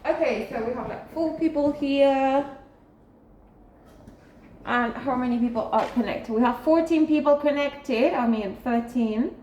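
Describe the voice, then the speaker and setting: A woman teacher speaks slowly in a slightly high voice, methodically checking who is present. Her voice carries a roomy echo and a somewhat muffled tone. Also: a little mellow, slight background noise. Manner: methodical, checking names one by one. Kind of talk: classroom roll call. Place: classroom.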